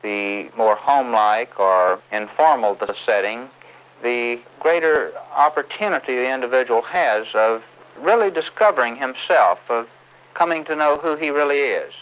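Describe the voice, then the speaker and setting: A male doctor speaks at an average pitch. He explains his clinical work in formal, orderly sentences. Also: lively intonation, normal speed, a bit bright, clear, resonant tone, rough recording. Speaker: doctor, therapy program director.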